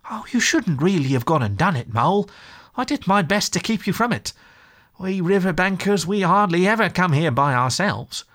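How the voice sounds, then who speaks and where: A man voicing a kindly, brisk and plucky male water rat in a storybook, chatty and reassuring. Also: sits high, sing-song, a bit bright, voice pushed up, exaggerated voice. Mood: gently scolding. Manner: chatty, reassuring, old-fashioned British turns of phrase. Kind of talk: storybook character voice.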